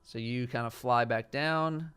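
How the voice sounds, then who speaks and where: Brief: young male game master, smooth clear tone, casual, halting narration. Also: medium pitch. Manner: relaxed, hesitant narration with many fillers. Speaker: dungeon master.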